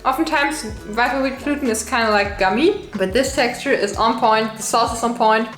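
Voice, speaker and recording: A woman's voice: on the high side, normal speed, fairly bright tone, echoey room, soft background music. A woman from Germany with a German accent, walking through a recipe in a chatty way and laughing at her own slips. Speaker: cooking channel host. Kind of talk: recipe walkthrough vlog.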